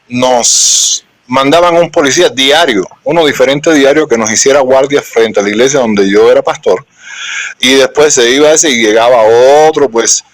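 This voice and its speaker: A man with a Cuban accent hosts a live-streamed interview. He speaks in an earnest, unhurried way. His voice sits in a middle pitch, and the sound is dry and close, with no room echo. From Cuba. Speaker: host.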